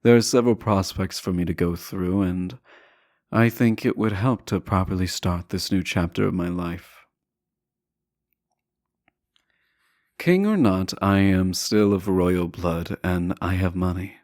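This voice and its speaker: A man playing a teasing, self-assured deposed prince in a romantic audio roleplay, speaking softly and deliberately with courtly, formal phrasing. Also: sits low, no echo, studio quality, natural voice. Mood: teasing, confident. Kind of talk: romantic audio roleplay acting, addressing the listener.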